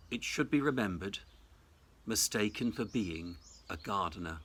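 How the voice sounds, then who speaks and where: A man, a senior Anglican clergyman, delivering a reflective Easter sermon in a measured, deliberate, formal way. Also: mid-range voice, a bit bright. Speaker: Anglican cathedral dean giving Easter message. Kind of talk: reflective sermon, prepared address.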